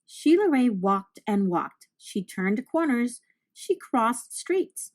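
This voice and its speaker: A woman reading a children's storybook aloud, narrating with deliberate, expressive phrasing for young listeners. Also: fairly high pitch.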